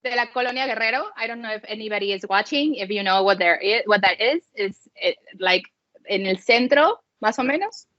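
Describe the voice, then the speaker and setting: A woman in her forties chats as a podcast guest. She is talkative and joking, says "like" often and slips into Spanish. Her mid-pitched voice comes through a dry, slightly dull recording. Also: lively intonation, a little fast, a bit bright, no room sound. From Mexico and the USA. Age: 40s. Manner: chatty, joking, frequent "like". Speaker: podcast guest, soccer fan. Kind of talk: casual chat in Spanglish.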